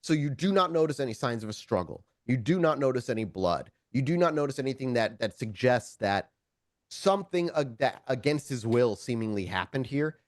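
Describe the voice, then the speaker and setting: A man acts as game master for a tabletop roleplaying session, describing scenes in an informal, conversational way. His medium-pitched voice swings widely in intonation. The sound is dry, with little room around it. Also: lively intonation, a little fast, no echo.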